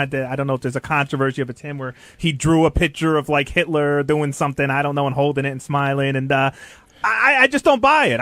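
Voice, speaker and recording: A man argues his side in a heated studio debate, firm and emphatic, pushing back when others cut in. His delivery is quick and a little higher than most men's. The sound is close and dry. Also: fairly bright tone, no room sound. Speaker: radio show staffer.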